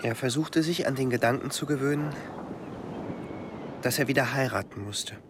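A man's voice: medium pitch, moderate pace, natural voice. An actor playing an earnest young man, a surveyor's son, in a historical radio drama. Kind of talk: audio drama dialogue. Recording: clear ambient background noise.

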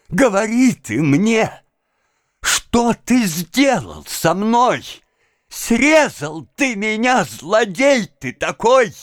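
Agitated, high-voiced, exasperated master. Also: male voice, clear, resonant tone, no echo, studio quality. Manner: wheedling, repetitive, spluttering insults. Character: agitated, exasperated middle-class clerk master, pleading then raging. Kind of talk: dramatised audiobook of a classic novel.

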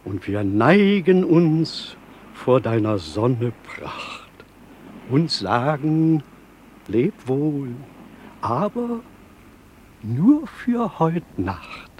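An actor in a radio play playing a gentle, devout village reverend who recites verse prayers reverently. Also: male voice, mid-range voice, very animated, extremely slow, slight background noise. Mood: reverent, tender.

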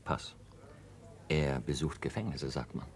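An actor in a 1960s German radio drama playing a disapproving upper-class card player. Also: male voice, extremely low pitch, animated, measured pace, a little hiss or hum, full character voice.

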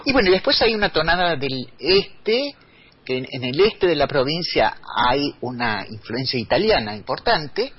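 A man's voice: a little high, big pitch swings, normal speed, slightly rough. A man, speaking as a radio guest and explaining in a lecturing way with many restarts. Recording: dull lo-fi radio recording.

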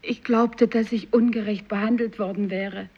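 Eine Schauspielerin spielt im literarischen Hörspiel eine verbitterte ältere Fischersfrau, die ihr Kind verloren hat. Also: female voice, medium pitch, fairly even intonation, normal speed, a little mellow, lightly acted. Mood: bitter grief. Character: embittered, older fisherwoman who lost her child. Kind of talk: 1950s literary radio play acting. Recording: dull, lo-fi radio recording.

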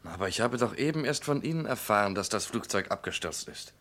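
An affable but excitable suspect in an old radio crime play, lowish voice, very emphatic. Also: male voice, brisk. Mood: defensive. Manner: protesting, emphatic, hesitating when pressed. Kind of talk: mid-century German radio crime drama acting.

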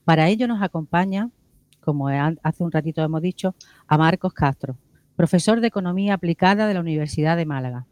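A man's voice: fairly high pitch, fairly quick, a little mellow, no room sound. A man co-hosting a radio programme, introducing segments and putting questions to guests. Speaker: radio co-host.

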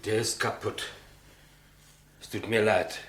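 An actor playing an elderly state executioner, polite, clumsy and matter-of-fact, in 1950s German radio play style. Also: male voice, fairly low pitch, steady tone, takes it slowly, a bit bright. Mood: flustered, apologetic. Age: older. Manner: deferential, plain, businesslike.

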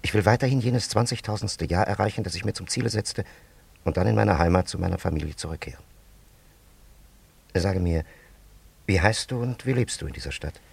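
An actor playing a solemn traveller from an ancient civilization in a German radio play, speaking in a stately, archaic, formal way with a gravelly, husky voice. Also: male voice, low voice, brisk, pauses often, no echo, heavily acted. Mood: solemn, confiding.

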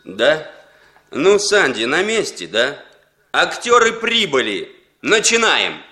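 A man's voice: on the high side, huge pitch swings, takes it slowly, bright, forceful tone, heavily acted. An actor playing a sly, scheming Western intelligence handler in a Soviet radio play, insinuating and ironic as he probes. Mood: brisk, commanding.

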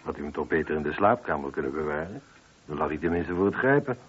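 An actor playing a probing, sceptical police inspector in a Dutch radio play, questioning in a measured, dryly insistent way. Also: male voice, extremely low pitch, lively intonation, a bit bright, husky, exaggerated voice. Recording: muffled, band-limited old radio recording.

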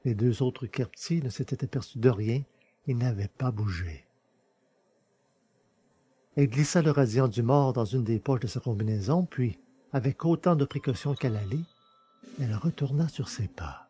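A man's voice: medium pitch, moderate pace, warm tone, slightly rough. A man reading a science-fiction novel as a scripted, dramatic audiobook narration. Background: music.

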